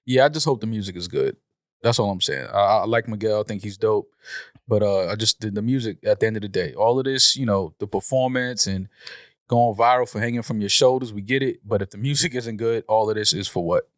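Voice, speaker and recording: A man's voice: on the low side, a little fast, dry recording, clean studio sound. An American man around forty, hosting a casual podcast discussion. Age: 30s or 40s. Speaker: podcast host.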